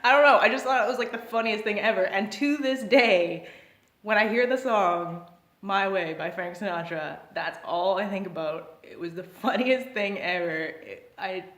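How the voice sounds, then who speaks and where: A woman telling a casual anecdote to the camera at a medium pitch, with a voice that rings in an echoey room. She keeps stopping and restarting, and her talk is full of fillers and laughter. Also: normal speed, fairly bright tone. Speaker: vlogger. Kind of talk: casual storytelling.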